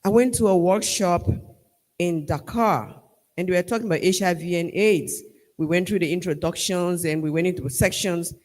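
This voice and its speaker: A man with a Liberian accent giving a formal vote of thanks at a ceremony, measured and emphatic, rallying the crowd. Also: high voice, a little mellow. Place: large hall at a university ceremony. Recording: long reverb of a large hall.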